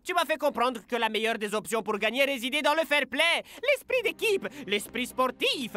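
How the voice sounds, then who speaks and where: A man's voice: very high-pitched, very animated, moderate pace, bright, forceful tone, full character voice. An actor dubbing a scheming grown man in a French cartoon, sly and theatrical in his gloating.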